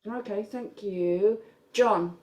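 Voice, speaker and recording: A woman hosting a casual panel discussion, with an animated storytelling manner. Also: mid-range voice, slow. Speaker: panel host.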